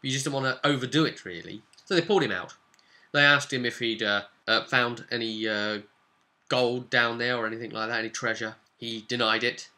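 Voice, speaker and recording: A man's voice: medium pitch, fairly even intonation, a little fast, sharp tone. A man telling a casual recap as a game master, stressing key words and trailing off into conversational asides. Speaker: game master recapping his tabletop role-playing campaign. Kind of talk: casual recap storytelling to camera.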